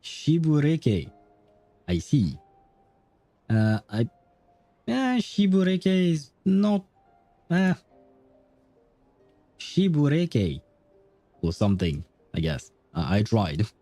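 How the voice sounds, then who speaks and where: A man's voice: fairly high pitch, wildly swooping pitch, drawn-out words, long pauses, mellow, dry recording, faint music behind. A man livestreaming a game, chatting casually in a hesitant, rambling way with chuckles and casual swearing.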